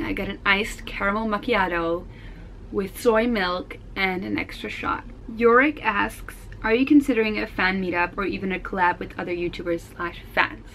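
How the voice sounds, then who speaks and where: A woman's voice: medium pitch, fairly bright tone, slight background noise. A Dutch teenage girl with a Dutch accent, answering viewer questions in a casual vlog, frank and blunt, swearing casually. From Netherlands. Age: teens. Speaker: vlogger.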